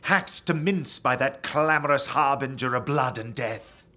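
A man's voice: medium pitch, measured pace, sharp tone. A man playing an earnest Shakespearean stage actor with a grave declamatory delivery in an audio comedy. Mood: mournful, grand. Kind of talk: audio comedy drama acting.

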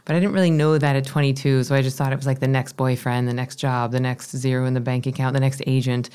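A woman in her thirties from Florida, a meditation teacher with a low voice, telling her story in an animated, chatty way. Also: quick pace, smooth. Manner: animated, chatty, self-deprecating. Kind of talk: casual interview storytelling.

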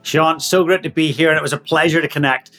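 A man in his thirties from Northern Ireland with a Northern Irish accent, an interview guest telling energetic stories and laughing often. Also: on the high side, fast talker, soft background music. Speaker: guest, leadership coach. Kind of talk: storytelling in an interview.

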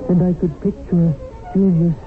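Guy as a worried guardian spirit, highish voice, rueful, tinny old radio drama with music. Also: little pitch movement, normal speed, close to an everyday voice. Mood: rueful, confiding. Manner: rueful, confiding. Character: earnest, worried male guardian spirit telling his story. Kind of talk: 1970s radio drama narration and acting. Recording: thin 1970s radio recording with music.

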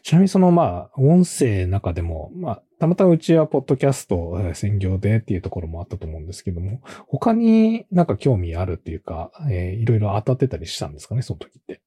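Man hosting, dark husky voice, lively intonation. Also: on the low side, quick pace, hardly any pauses, no echo, studio quality. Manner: attentive, frequent repeated acknowledgements. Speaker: podcast host interviewing a guest. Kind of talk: casual interview with frequent backchannels.

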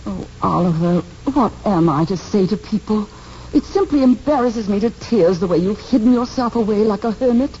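A woman's voice: mid-range voice, animated, moderate pace, mellow, natural voice. An actress playing a self-centred, fussy, domineering middle-aged mother in a 1940s radio drama, gushing and dramatic. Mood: gushing reproach. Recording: muffled, noisy 1940s radio recording.

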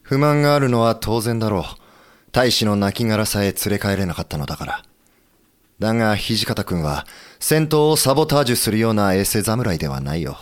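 An actor playing a dignified, decisive samurai-era leader with a firm, deliberate manner in a period audio drama. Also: male voice, fairly low pitch, expressive, natural voice.

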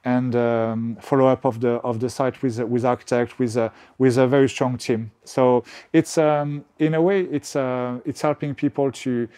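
French-accented man, halting speech. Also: mid-range voice, fairly even intonation, dark tone, clear, resonant tone. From France. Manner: halting, many restarts and fillers. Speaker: guest, real estate agent. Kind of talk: conversational interview.

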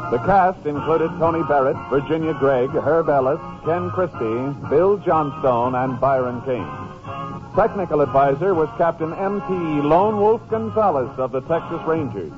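An American man does scripted old-time network radio announcing. His voice sits fairly high and his delivery is formal and polished. The muffled vintage broadcast has music behind it. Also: lively intonation, moderate pace.

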